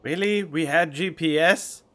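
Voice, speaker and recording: A man's voice: fairly high pitch, a little slow, pushed and bright. A man giving casual commentary as he plays a video game, speaking into a microphone. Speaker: streamer playing a game. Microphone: studio microphone.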